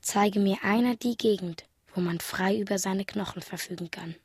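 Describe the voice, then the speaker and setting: An actress playing the grave, questioning inner voice of a mourner in a literary radio play, speaking in a measured, reflective way. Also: female voice, mid-range voice, slightly rough, no echo, studio quality. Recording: music behind the voice. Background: music.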